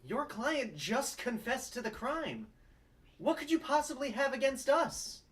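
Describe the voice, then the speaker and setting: A man's voice: very high-pitched, measured pace, exaggerated voice. A young man playing an earnest, easily wounded lawyer who stammers dramatically at a sitcom table read. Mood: indignant. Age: young adult. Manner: stammering, overdramatic, animated.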